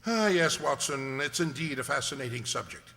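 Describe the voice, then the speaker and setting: A man's voice: medium pitch, lively intonation, moderate pace, pushed and bright. A man playing an erudite, drily teasing English detective in an old-time radio drama, with a precise, lecturing manner. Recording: hall-like long reverb.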